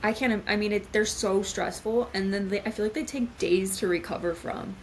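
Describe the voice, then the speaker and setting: A woman's voice: medium pitch, brisk, low-quality recording. Guest author, woman, casual and chatty, slight background noise. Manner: chatty, laughs often, filler-heavy. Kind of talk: casual podcast chat.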